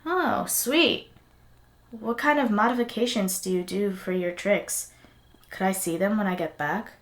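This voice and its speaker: A woman playing a sarcastic, excitable young tinkerer in an audio drama, snappy and skeptical. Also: mid-range voice, unhurried, lightly acted. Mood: eager.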